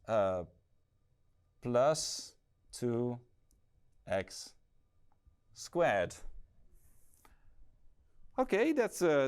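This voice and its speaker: A man's voice: mid-range voice, clear, resonant tone. A man with a Dutch accent, teaching a math lesson as a lecturer who thinks aloud and corrects himself with many fillers. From Netherlands. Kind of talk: explaining at a board.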